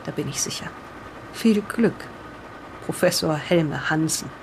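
A woman voicing a proud professor's grave letter to heirs, read solemnly and deliberately in an audio drama. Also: low-pitched, expressive, creaky, noisy background, full character voice. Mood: stern, warning.

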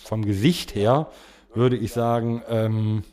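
A man co-hosting a podcast in casual comic chat, joking with halting delivery. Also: on the low side, steady tone, a little mellow. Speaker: podcast host.

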